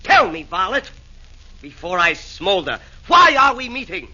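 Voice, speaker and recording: An actor playing a vain, petulant Nero in a 1940s radio drama, haughty and affected. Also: male voice, sits high, wildly swooping pitch, unhurried, sharp tone, full character voice. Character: vain fussy male Nero, fiddling in hell. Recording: dull old radio broadcast.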